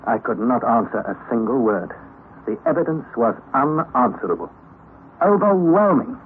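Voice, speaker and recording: A man's voice: mid-range voice, big pitch swings, moderate pace, close to an everyday voice. An actor playing an anxious young male nephew who narrates an old radio drama in earnest first person. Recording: tinny lo-fi old radio recording with faint hiss.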